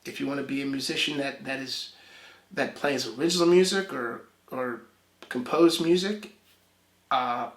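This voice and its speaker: Musician guy chatting, trips over his words a lot, echoey room. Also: mid-range voice. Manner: halting, many restarts. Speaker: musician guest. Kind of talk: casual interview chat.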